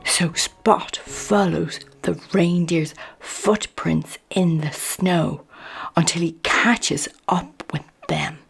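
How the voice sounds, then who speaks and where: Female narrator for children's bedtime read-aloud: very slow, husky-soft edge, faint music behind. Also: fairly low pitch. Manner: expressive, measured bedtime storytelling. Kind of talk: scripted picture-book read-aloud.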